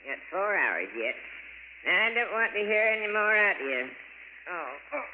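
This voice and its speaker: Grumpy old American constable, echoing radio. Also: male voice, sits high, big pitch swings, moderate pace, narrow, tinny audio. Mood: grumpy, sleepy. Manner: folksy, grumbling, groaning. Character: grumpy, drowsy old rural constable with aching back. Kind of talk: vintage radio drama acting. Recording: echoey, thin, noisy old radio recording.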